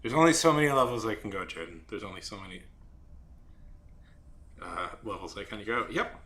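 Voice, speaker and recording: A young man chatting casually on a live stream while drawing, rambling and halting with many fillers and restarts. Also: on the low side, expressive, pushed and bright. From Saudi Arabia.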